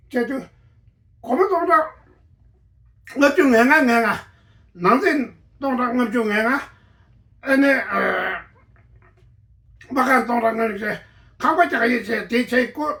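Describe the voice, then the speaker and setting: A woman with a fairly high voice speaks slowly and haltingly, often stopping and restarting. Her voice sometimes breaks with emotion. She sounds some way off the mic, in an echoey room. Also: recorded from a distance. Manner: halting, with many pauses and restarts. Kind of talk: spontaneous personal account.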